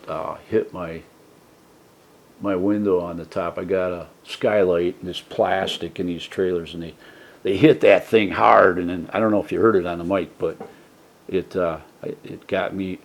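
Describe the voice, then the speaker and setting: A man hosting his own channel, talking casually and softly from his trailer home, rambling with many fillers and restarts. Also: low-pitched, steady tone, moderate pace. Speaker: YouTube channel host. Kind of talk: casual rambling vlog talk. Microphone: desk mic set for a soft voice.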